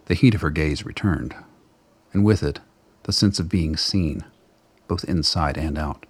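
A man narrating a radio play in a measured, dramatic, literary storytelling style. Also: very deep voice, breathy edge, no echo.

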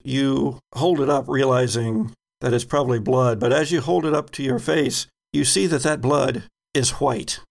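A man's voice: mid-range voice, fairly even intonation, normal speed, creaky, few pauses. A man game master narrating a tabletop game in a descriptive, conversational manner.

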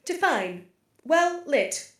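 A woman playing a literal-minded female AI archive computer in an audio drama, speaking in a flat, formal, deliberate way. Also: high voice, roomy sound, a little hiss or hum, exaggerated voice. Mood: impassive. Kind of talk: scripted audio drama acting.

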